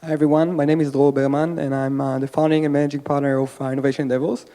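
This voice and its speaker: Flat, mellow Israeli man. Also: fairly high pitch, racing. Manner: hesitant, frequent fillers. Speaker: venture capital panelist. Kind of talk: panel introduction. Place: conference hall stage. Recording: hall reverb.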